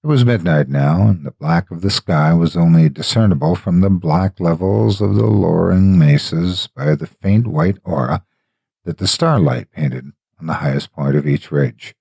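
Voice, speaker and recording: A man narrating a scripted horror story in a measured, theatrical, emphatic delivery. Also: sits low, expressive, gravelly, dark tone, slightly rough, no echo, studio quality.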